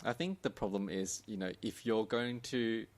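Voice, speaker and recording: Guy on a book podcast, kinda low voice, informal chat, chimes in agreeing. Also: moderate pace. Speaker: podcast co-host. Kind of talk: casual book discussion chat.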